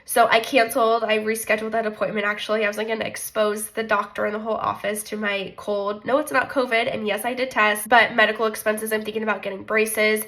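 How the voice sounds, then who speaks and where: A woman's voice: medium pitch, level tone, fast, few pauses, a bit bright. Congested, chatty young woman budgeting aloud. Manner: chatty, self-deprecating, thinks aloud with frequent likes. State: congested from a cold. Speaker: budgeting vlogger. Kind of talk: casual chat walking through a monthly budget.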